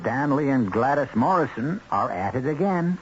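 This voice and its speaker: A man's voice: medium pitch, lively intonation, moderate pace. A man hosting and narrating a radio drama, delivering a scripted introduction in a dramatic, wry, rhetorical manner. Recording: dull old radio broadcast, loud music. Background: music.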